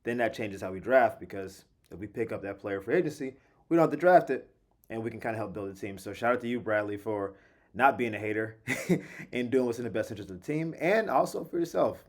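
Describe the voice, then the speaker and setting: A man hosting a daily sports show, talking casually and animatedly in slangy asides, his voice slightly hoarse. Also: on the low side, lively intonation, a little fast. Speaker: sports podcast host. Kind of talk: casual solo sports commentary.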